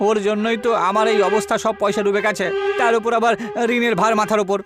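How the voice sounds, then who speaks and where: A man's voice: sits high, level tone, fast, natural voice. A man voicing a poor, timid village man in a cartoon, with a plaintive, questioning manner. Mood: pleading. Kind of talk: cartoon dialogue. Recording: music behind the voice. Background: music.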